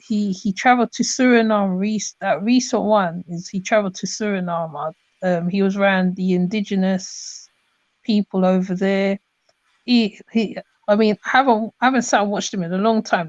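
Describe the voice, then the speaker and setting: A woman's voice: mid-range voice, fairly even intonation, normal speed, darkish tone, no room sound. A woman from Trinidad, chatting casually and rambling with many restarts and fillers. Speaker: host. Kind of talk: casual chat. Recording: dull lo-fi sound.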